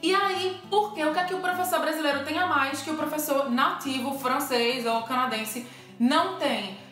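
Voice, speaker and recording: A woman with a high voice and a Brazilian accent speaks as a teacher and co-host in a playful debate. The room echoes strongly around her, and faint music plays behind. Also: moderate pace, a bit bright. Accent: Brazilian Portuguese. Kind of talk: explanatory video talk, playful debate.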